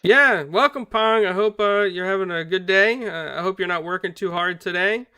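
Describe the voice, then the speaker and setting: Man, podcast host, upbeat, crisp voice, dry close mic. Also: high voice, moderate pace, no room sound. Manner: upbeat, shouts out viewers. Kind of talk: casual gaming podcast chat.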